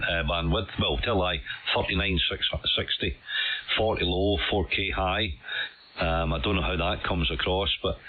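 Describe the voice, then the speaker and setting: A man's voice: low voice, breathy edge, highs cut off. A man, an amateur radio operator, chatting amiably and casually over a single-sideband radio link. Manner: amiable, chatty, uses "mate". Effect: shortwave single-sideband radio transmission. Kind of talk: casual radio contact chat. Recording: band-limited ham radio signal, faint hiss.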